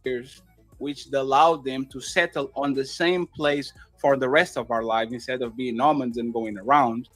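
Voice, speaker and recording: A man's voice: mid-range voice, steady tone, moderate pace, a bit bright, no room sound, soft background music. A man in a heated live debate who stammers, repeats himself and interrupts with crude language. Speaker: debate panelist.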